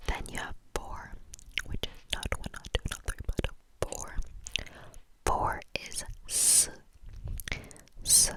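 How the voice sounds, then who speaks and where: Slow whispering woman teaching. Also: no echo. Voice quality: soft whisper. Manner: repetitive, slow, breathy trigger-word repetition. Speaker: language teacher. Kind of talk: whispered ASMR lesson. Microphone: camera mic.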